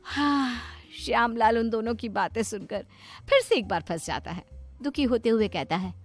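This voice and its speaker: High-pitched woman narrating a story. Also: animated, moderate pace. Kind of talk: scripted moral story narration. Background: light background music.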